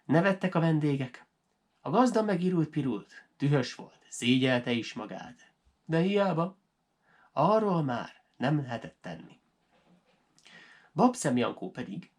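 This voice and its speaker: A man tells a folk tale with dramatic flair. His voice sits a little high for a male and rises and falls in lively swings, as a storyteller's does. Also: husky. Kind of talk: expressive folk tale narration for language learners.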